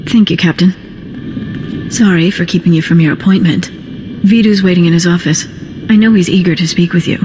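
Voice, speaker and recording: A woman's voice: fairly low pitch, moderate pace, pauses often, background noise, close to an everyday voice. A woman voicing a sincere, anxious young assistant in a video game, speaking politely. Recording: music behind dialogue.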